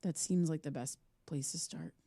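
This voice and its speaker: A woman's voice: deep voice, steady tone, normal speed, vocal fry, warm tone, no room sound. An actress playing a troubled, haunted woman podcaster, earnest and hesitant, in a naturalistic audio drama. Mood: brooding, determined.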